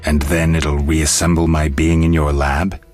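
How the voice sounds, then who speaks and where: An actor playing a gruff, stoic monster hunter in a video game, terse and deadpan, with a gravelly voice. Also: male voice, extremely low pitch, measured pace, faint music behind. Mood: deadpan, guarded. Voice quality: gravelly, husky.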